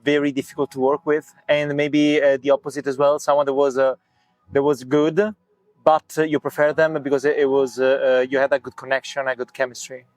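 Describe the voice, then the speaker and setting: A man interviewing a band, joking around and pausing often with "uh" as he hunts for words. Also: medium pitch, flat delivery, darkish tone, dry recording, low-quality recording. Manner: joking, halting with many "uh"s. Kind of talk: playful interview questions.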